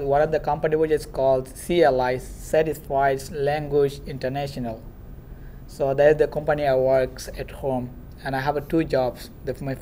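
A man's voice: fairly high pitch, warm tone, a little hiss or hum. A young man from Myanmar, a native Rohingya speaker, giving an earnest talk as a guest speaker in a classroom, filmed by a far camera. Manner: earnest, storytelling with hand gestures.

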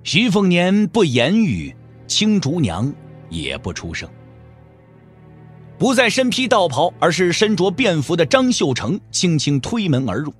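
A man's voice: sits high, huge pitch swings, a little slow, faint music behind. A man narrating a novel as a storyteller in a dramatic, scripted audio drama style.

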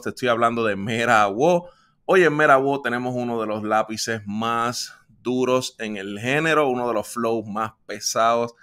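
A man's voice: medium pitch, fairly bright tone. A young man hosting an interview with upbeat, friendly, slang-laced talk. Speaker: host. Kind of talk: casual interview. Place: home studio with shelves.

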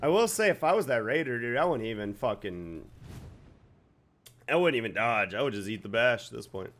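A young man with a slightly raspy voice giving casual, swearing, excited commentary over a video game he plays at home. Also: medium pitch. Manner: swears freely, excited outbursts and quick reactions. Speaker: gaming YouTuber. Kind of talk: live gameplay commentary. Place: home gaming setup, indoors.

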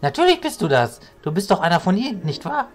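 A man's voice: high voice, very animated, fast, soft background music. A man playing a suspicious, accusing illusionist in a fantasy audio drama, speaking in a pointed, challenging way.

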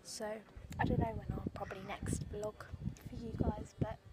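A woman's voice: mid-range voice, steady tone, normal speed. A teenage girl chatting casually into a phone held at arm's length outdoors, rambling with lots of ums and likes. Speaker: vlogger. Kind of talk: casual vlog chat. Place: outdoors at a sunny marina and woodland path. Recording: noisy outdoor phone recording.

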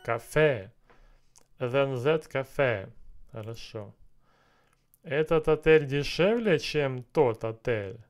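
A man in his thirties on a headset mic in a small room, working through language drills out loud, repeating and translating each phrase and muttering to himself. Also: medium pitch, lively intonation, slow pace, pauses often, soft background music. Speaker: language learner streaming a lesson. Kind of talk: thinking aloud while doing app exercises.

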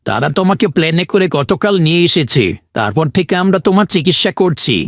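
Guy acting a calm fatherly cartoon doctor, pretty high voice, no echo. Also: a little fast, clean studio sound. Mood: reassuring.

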